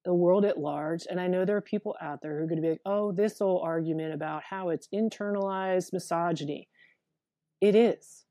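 Deep-voiced woman, therapist guest, earnest and candid, mellow tone, dry sound, earphone mic on a video call. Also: fairly quick, dry recording. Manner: frank and earnest, thinks aloud with fillers and restarts. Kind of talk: candid interview conversation. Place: small room at home with a window.

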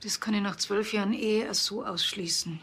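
An actress playing a plain-spoken, skeptical farmer's wife in a TV crime comedy, matter-of-fact. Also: female voice, medium pitch, steady tone, normal speed, a bit bright, slightly rough.

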